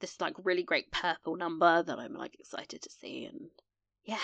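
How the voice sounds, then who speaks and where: A woman's voice: fairly low pitch, moderate pace, gravelly, a bit bright. A woman co-hosting a casual, chatty recap discussion, gushing and playful in slangy speech. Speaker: podcast co-host.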